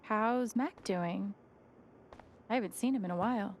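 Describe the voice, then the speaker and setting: A woman playing a gentle, thoughtful young game heroine in a soft, understated, naturalistic way. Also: medium pitch, a little slow, a little hiss or hum, close to an everyday voice. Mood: friendly. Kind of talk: naturalistic video game acting.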